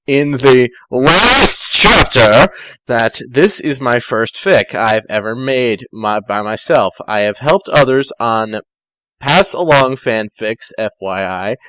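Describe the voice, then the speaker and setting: Man, amateur story reader, sardonic asides, slow delivery, sharp bright tone, dry recording. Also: medium pitch, no echo, low-quality recording. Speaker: amateur fan fiction reader. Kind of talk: reading a story aloud with mocking asides.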